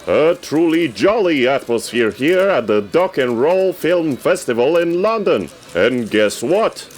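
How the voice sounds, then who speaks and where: A man playing an eager radio correspondent reporting from a red carpet in a comedy sketch, formal and theatrically excited. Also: fairly high pitch, unhurried, slight background noise. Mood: grandly excited.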